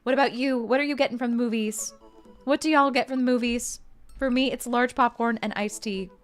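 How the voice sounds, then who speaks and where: A woman's voice: on the high side, steady tone, brisk, faint music behind. A young woman streaming a game, chatting casually and reading game text aloud in a bubbly way. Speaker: gaming streamer. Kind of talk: casual let's-play commentary.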